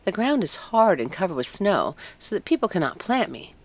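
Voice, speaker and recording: A woman's voice: mid-range voice, big pitch swings, fairly quick. A woman voicing an anxious, wistful young fir tree in a storybook, earnest and musing. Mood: lonely, wistful. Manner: musing, earnest, self-absorbed. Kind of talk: storybook character voice.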